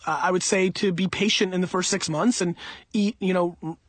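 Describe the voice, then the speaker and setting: Guy around 40, high raspy voice, blunt off the cuff advice, dry close sound. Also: fairly even intonation, brisk, dry recording. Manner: blunt, emphatic, informal. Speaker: audiobook author answering listener questions.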